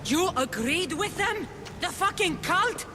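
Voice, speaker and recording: A fiery game heroine, high voice that swings a lot, blunt n defiant. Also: female voice, lively intonation, measured pace. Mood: angry, incredulous. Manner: blunt, confrontational. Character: fiery, defiant young female mercenary hero. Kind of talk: dramatic video game cutscene dialogue. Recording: music behind the voice.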